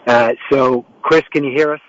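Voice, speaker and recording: A man's voice: mid-range voice, steady tone, moderate pace, no echo. A man hosts an interview show from home over a remote line. He talks in a mid-range voice, circling back and restarting his sentences as he frames a question. The sound is dry and slightly muffled, with no room around it. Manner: rambling, with frequent restarts and fillers. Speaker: talk show host. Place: home setup in New York. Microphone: remote call setup.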